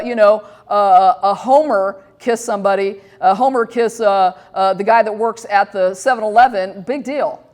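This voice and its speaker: A woman in her fifties hosts a casual talk show, rambling candidly and poking fun at herself. Her voice sits a little high, and her talk is full of fillers and restarts. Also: darkish tone. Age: 50s. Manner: candid, self-deprecating, rambling with many fillers. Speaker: host.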